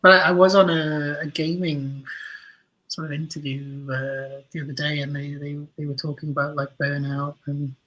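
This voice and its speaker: Husky-voiced man chatting as a podcast guest. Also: fairly high pitch, level tone, a bit bright, dull audio. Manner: chatty, self-deprecating, halting restarts. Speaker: guest, audio drama creator. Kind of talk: casual podcast conversation.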